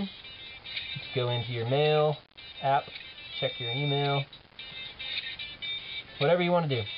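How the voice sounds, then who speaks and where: Male app demonstrator, casual and halting delivery, muffled lo-fi camera audio with music behind. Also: medium pitch. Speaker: app developer presenting a demo. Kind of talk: informal software demo narration.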